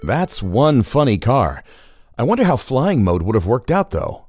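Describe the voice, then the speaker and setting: A man's voice: mid-range voice, big pitch swings, unhurried, music in the background. A man playing an amused storyteller who chats to listeners in a children's audio story.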